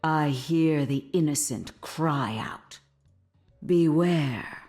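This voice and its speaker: An actress playing a cold, humorless female monster hunter in a video game, grave and menacing, with breathy, near-whispered delivery. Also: deep voice, very slow, darkish tone, exaggerated voice. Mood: ominous, grave. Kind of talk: video game character voice lines.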